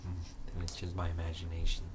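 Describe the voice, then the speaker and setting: A man co-hosting a stream, casually explaining card game rules in halting bursts with fillers. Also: low-pitched, monotone, heavy background noise. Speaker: stream co-host. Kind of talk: casual chat while playing a card game. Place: game store.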